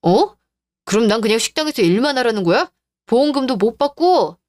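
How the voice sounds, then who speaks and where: A woman's voice: a little high, lively intonation, brisk, darkish tone, no room sound, lightly acted. A woman voicing a whining, pleading husband. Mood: bewildered. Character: weak, pleading middle-aged cheating husband. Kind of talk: radio drama acting. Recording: dry studio voice-over with faint music.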